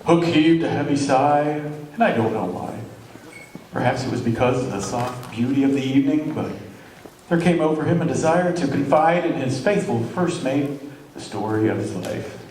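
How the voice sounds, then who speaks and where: A man's voice: medium pitch, animated, moderate pace, a little mellow. A man narrating and announcing from a script in an old-time radio style stage show. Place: large hall, live stage show. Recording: very echoey hall, distant mic, some noise.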